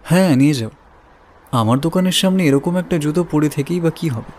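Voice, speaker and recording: An actor playing a wary, evasive roadside shopkeeper in an audio drama, defensive and colloquial. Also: male voice, a little high, brisk, darkish tone, slight background noise, natural voice. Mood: casual, wary. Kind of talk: audio story drama acting. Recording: music bed behind voice.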